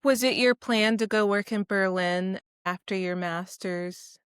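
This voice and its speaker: A woman hosting a podcast, asking her guest questions in a relaxed, measured way. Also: medium pitch, clean tone, dry recording, clean studio sound. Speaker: podcast host. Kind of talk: casual interview chat.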